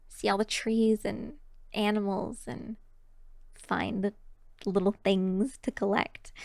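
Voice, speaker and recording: A woman's voice: mid-range voice, vocal fry, fairly bright tone, no room sound, clean studio sound. A woman voicing a shy, cheery young kitsune barista in an ASMR roleplay, chatting to the listener in a bashful, hesitant, giggly way. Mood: wistful, rambling.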